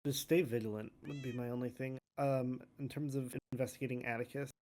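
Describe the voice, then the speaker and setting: A roleplayer speaking tentatively in halting phrases, improvising in-character dialogue. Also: medium pitch, steady tone, mellow. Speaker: roleplayer in a Minecraft court drama.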